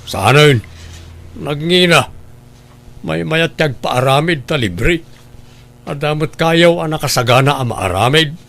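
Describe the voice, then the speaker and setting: A man's voice: a little high, animated, measured pace, gravelly, long pauses, fairly bright tone, heavily acted. A man acting a scheming, grasping husband in an Ilocano radio drama, goading and argumentative. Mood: callous.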